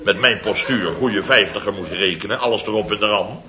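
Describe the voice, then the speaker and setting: A man's voice: a little fast, crowd noise, natural voice. An actor playing a pompous, blustering company boss in his fifties, in a 1960s radio comedy. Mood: grumbling. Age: 50s. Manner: bombastic, theatrical, swinging from booming to muttering. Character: pompous blustering fifty-something male building-firm boss. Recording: dull, roomy vintage radio recording.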